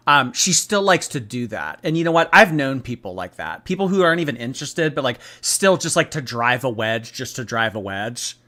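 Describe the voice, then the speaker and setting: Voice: mid-range voice, a bit bright. A commentator giving casual, emphatic reactions, blunt and given to repeating phrases for effect. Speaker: host reacting to clips.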